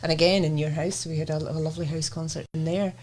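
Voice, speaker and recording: Chatty female co-host, fairly low voice. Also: steady tone, fast, background noise. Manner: friendly, prompting questions. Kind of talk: casual chat.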